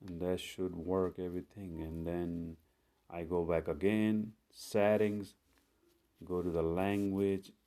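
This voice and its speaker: Slow, low-voiced man walking through phone steps. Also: flat delivery, warm tone. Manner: step-by-step, unhurried, plain instructions with fillers. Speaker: tech tutorial host. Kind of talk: screen-recorded phone tutorial.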